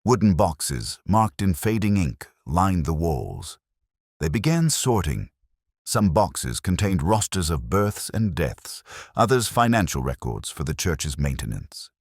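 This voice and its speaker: A man with an extremely deep, slightly rough voice narrates a scripted mystery. His delivery is measured and literary, with lively movement in pitch, recorded dry and close. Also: dry recording. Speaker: audiobook narrator.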